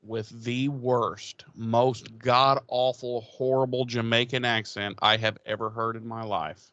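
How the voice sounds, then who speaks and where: A man of medium pitch offers casual commentary with strong opinions. His delivery is blunt and emphatic, peppered with profanity. The recording is dry, with little room sound. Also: level tone, unhurried, a bit bright, no echo, highs cut off. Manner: blunt, emphatic, foul-mouthed. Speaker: podcast co-host.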